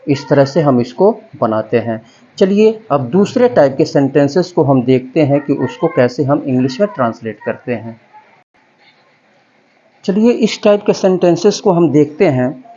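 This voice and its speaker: Male tutor, mellow low-key voice, drawn-out dictation pace, muffled home recording. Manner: repeats and spells out each word slowly while writing. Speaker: English grammar teacher. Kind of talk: Hindi-medium grammar lesson, slow dictation. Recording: dull lo-fi recording.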